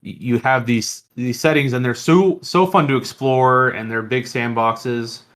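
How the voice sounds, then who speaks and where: A man hosts a podcast in an informal, chatty style. He speaks at a middling pitch for a male voice. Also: measured pace, fairly bright tone. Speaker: podcast host. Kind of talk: casual chat.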